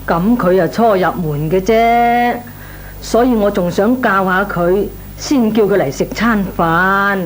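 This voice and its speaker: Fretful Cantonese auntie played by an actress, faintly noisy. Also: female voice, mid-range voice, measured pace, close to an everyday voice. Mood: worried, pleading. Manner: plaintive, sighing, exclamatory. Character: fretful married woman, worried pleading aunt. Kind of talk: old Hong Kong radio drama acting. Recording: old radio recording, faint noise.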